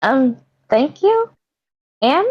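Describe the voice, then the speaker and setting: Woman acting high-voiced, hesitant female trainee, dull audio. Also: very animated, drawn-out words, exaggerated voice. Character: timid, reluctant female volunteer trainee Rachel. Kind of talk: screenplay table read. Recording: dull lo-fi online meeting audio.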